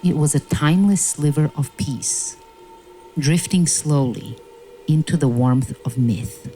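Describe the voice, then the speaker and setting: A woman narrating a fairy-tale audio play in a measured, solemn storytelling manner. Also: low voice, mellow, slight background noise, low-quality recording. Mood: wistful. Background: music.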